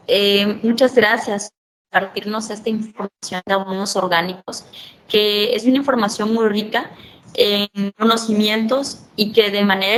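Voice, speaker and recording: A woman's voice: medium pitch, a bit bright, rough recording. A woman moderating a school conference, thanking the speaker in a formal way with frequent tag questions. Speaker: event moderator.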